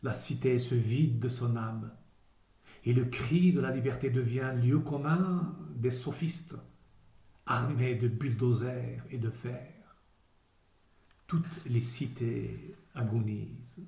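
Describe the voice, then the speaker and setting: Guy reading a poem really slowly, solemn and measured, mellow voice in an echoey room. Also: mid-range voice, steady tone, creaky. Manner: measured, declamatory phrasing with deliberate pauses. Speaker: poetry reader. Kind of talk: solemn literary poetry recitation. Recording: roomy echo around the voice.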